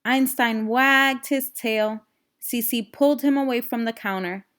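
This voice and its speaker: A woman's voice: fairly high pitch, measured pace, fairly bright tone, smooth. A woman reading a children's storybook aloud expressively, with explanatory asides to young listeners. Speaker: read-aloud storyteller and teacher.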